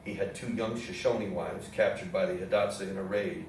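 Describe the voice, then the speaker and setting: Male narrator for historical readers theatre, lowish voice, heavily reverberant room, distant pickup. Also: steady tone, normal speed, darkish tone. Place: indoor theatre room with audience program. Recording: echoey hall, distant mic, faint noise.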